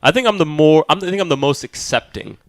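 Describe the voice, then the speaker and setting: A man co-hosts a casual podcast with a lively, varied delivery. He jokes and swears through the banter. The recording is dry and free of echo. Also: on the high side, fast talker. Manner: profane, joking. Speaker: podcast co-host.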